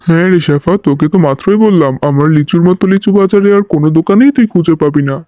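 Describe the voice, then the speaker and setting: A man acts out a grumpy tiger shopkeeper in a cartoon, boastful and dismissive. The voice is fast and fairly high for a male, with a dull, lo-fi sound. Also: mellow, dry recording, natural voice. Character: gruff, impatient male tiger fruit seller. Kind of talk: children's cartoon dubbing.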